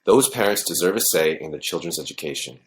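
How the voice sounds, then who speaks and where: A man's voice: extremely low pitch, expressive, fast. An actor playing a cautious, placating male school official in a podcast drama.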